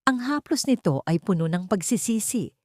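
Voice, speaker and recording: A woman's voice: mid-range voice, lively intonation, normal speed, no room sound, studio quality. A woman storyteller reading scripted fiction as dramatic narration.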